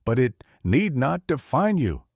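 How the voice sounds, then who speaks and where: An older man voicing a wise, gentle male lion king in a storybook, calm and solemn. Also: medium pitch, a little slow, creaky, slightly rough, no echo, studio quality, voice pushed up, heavily acted. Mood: solemn tender.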